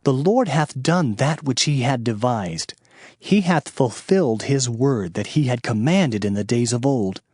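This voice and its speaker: A man narrating scripture in a solemn, measured, dramatized reading. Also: mid-range voice, expressive, darkish tone, husky, no echo. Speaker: Bible narrator. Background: background music.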